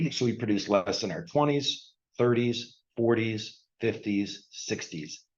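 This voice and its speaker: Man, sixties, lowish voice, retired surgeon, explanatory presentation, frequent throat clearing, dull lo-fi recording. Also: expressive, takes it slowly. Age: 60s.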